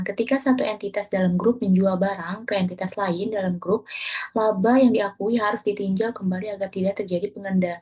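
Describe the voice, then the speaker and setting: Husky woman reading a class presentation. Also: medium pitch, poor audio. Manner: reads steadily from slides, with small self-corrections. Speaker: student presenter.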